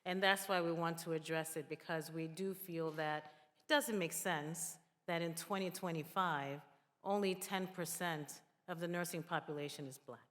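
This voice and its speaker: A woman's voice: lowish voice, fairly even intonation, moderate pace, fairly bright tone. A woman giving earnest remarks as a nurse speaking on a panel. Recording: echoey hall with long reverb.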